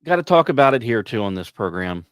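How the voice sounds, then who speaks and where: A man's voice: medium pitch, very animated, quick pace, no room sound, clean studio sound. A man hosting a science podcast, delivering semi-scripted commentary with wry asides and the odd stumbled, repeated word.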